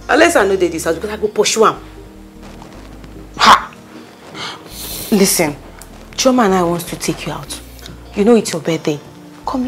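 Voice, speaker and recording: A woman with a Nigerian accent acting a bossy, loyal young friend in a drama, speaking emphatically with Pidgin slang. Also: mid-range voice. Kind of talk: naturalistic film drama acting.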